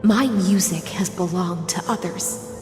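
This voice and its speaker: An actress playing a weary, sorrowful and proud siren warrior in a video game, speaking solemnly and dramatically. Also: female voice, on the low side, measured pace, close to an everyday voice. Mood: solemn resolve. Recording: echoey hall reverb under music.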